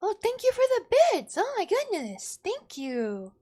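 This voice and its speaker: A woman's voice: extremely high pitch, wildly swooping pitch, unhurried, mellow. A woman livestreamer chatting casually with her viewers in a bubbly, exclamatory, readily apologetic way. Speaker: live streamer. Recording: music behind the voice. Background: background music.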